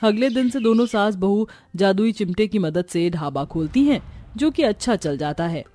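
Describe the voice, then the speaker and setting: Female narrator for animated story, mid-range pitch, scripted delivery, very dry recording with light music. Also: moderate pace, dry recording.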